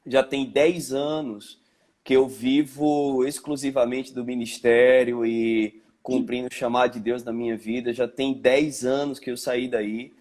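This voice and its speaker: A man's voice: medium pitch, flat delivery, unhurried, darkish tone. Emotional Pernambuco-accented pastor in his 30s. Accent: Pernambuco Portuguese, slightly mixed. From Caruaru, Brazil. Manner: emotional, grateful, chatty with filler "né" and "cara". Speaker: pastor, invited guest. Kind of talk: heartfelt casual chat on a church livestream.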